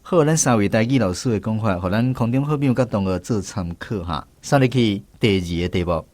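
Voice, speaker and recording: A man's voice: fairly low pitch, lively intonation, brisk, darkish tone, no room sound. A man with a Taiwanese accent hosting a language lesson segment on the radio. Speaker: radio show host.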